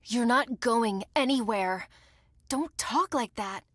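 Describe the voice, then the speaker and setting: An actress playing a fierce young orphan heroine in a video game, emotional and defiant in a theatrical way. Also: female voice, on the high side, slow pace, a bit bright, no room sound, clean studio sound. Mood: defiant, bitter. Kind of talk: dramatic video game voice acting.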